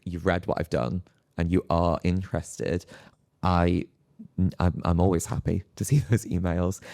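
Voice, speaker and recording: A man's voice: sits low, darkish tone, no room sound, clean studio sound. A man speaking as an academic panelist in a live discussion, phrasing his thoughts in a hesitant, reflective way. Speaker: panelist, psychology lecturer.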